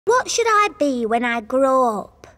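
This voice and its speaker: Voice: high-pitched, very animated, unhurried, pushed and bright, heavily acted. An actor voicing a curious little cartoon princess, asking direct, childlike questions. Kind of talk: cartoon character asking short interview questions.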